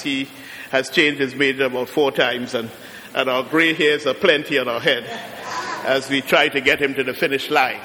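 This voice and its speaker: A man's voice: on the high side, pushed and bright, a little hiss or hum. Trinidadian preacher man in a reverberant church. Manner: preaching with rising emphatic peaks and slow drawn-out phrases. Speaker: guest preacher. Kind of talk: sermon. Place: large church.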